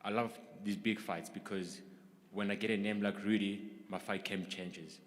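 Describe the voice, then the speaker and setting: Keen young-sounding fighter, low voice, big reverb. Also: male voice, fairly even intonation, moderate pace. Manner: upbeat, eager. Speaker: fighter at a press conference. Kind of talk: press conference answers. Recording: long hall reverb.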